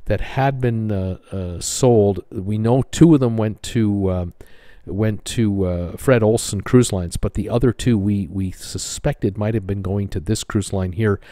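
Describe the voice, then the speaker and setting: A North American man delivers informal news commentary as a channel host. His voice sits on the low side, and he talks haltingly, pausing often to find his words. The sound is dry and close, with little room around it. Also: dark tone, no echo.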